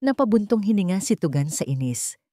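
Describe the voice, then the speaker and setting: A woman's voice: medium pitch, sing-song, a little fast, dark tone, dry recording, studio quality. A woman with a Filipino accent reading a scripted story in a dramatic narration style.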